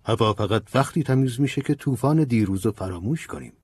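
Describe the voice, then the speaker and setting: A man voicing an old male priest who speaks in a gentle, preaching, earnest manner. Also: mid-range voice, expressive, slightly rough, dry recording, voice pushed up. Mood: calm counselling. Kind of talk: audiobook character voice.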